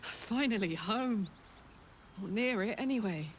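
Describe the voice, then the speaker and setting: An actress plays a battle-hardened fugitive woman in a fantasy game. She speaks with a British accent at a medium pitch, blunt and colloquial yet earnest. A little ambient noise sits behind her. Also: animated, unhurried, close to an everyday voice. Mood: earnest, resolute. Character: brash, earnest female devilish warrior fugitive. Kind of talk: video game character acting.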